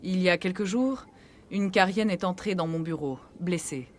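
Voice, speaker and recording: An earnest, frank clinic doctor from a video game, acted by a woman. Her voice sits a little low and she explains seriously, over faint background noise. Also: moderate pace, a little mellow, close to an everyday voice. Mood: shaken, earnest. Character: earnest female human clinic doctor, shaken but frank.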